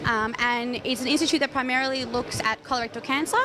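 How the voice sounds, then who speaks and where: A woman's voice: on the high side, fairly even intonation, quick pace, background noise. A woman, a medical researcher giving explanatory answers in a news interview.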